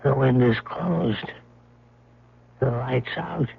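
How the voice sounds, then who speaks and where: An actor playing an uneasy, insistent museum guard in a 1970s radio drama, with a halting delivery. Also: male voice, mid-range voice, vocal fry, slightly rough, heavily acted. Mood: spooked. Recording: muffled old radio broadcast.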